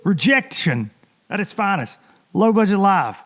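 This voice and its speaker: Hoarse, rambling podcast host man. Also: high voice, big pitch swings, measured pace. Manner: loose, rambling, talks to listeners as y'all. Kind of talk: casual podcast monologue. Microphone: studio microphone.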